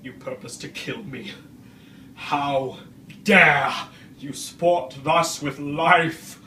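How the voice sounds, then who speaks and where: Wretched male creature, played by a man: anguished stage oratory, slightly high-pitched, deliberate and archaic, echoing room. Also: pushed and bright, exaggerated voice. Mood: indignant. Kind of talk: dramatic stage monologue. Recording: roomy, distant microphone, faint noise.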